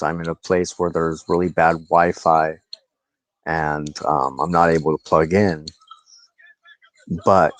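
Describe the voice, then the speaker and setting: A man with a low-pitched voice hosts a spiritual show, talking informally to the camera. He speaks slowly and deliberately, often pausing mid-phrase and repeating words for emphasis. The sound is dry and close. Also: no echo, rough recording.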